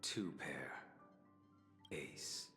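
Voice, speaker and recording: A man playing an imposing, cryptic video game character, with a slow, terse and composed delivery. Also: a bass voice, sharp tone, exaggerated voice. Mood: calm, cryptic. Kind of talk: dramatic video game voice acting. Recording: echoey reverberant hall, faint music.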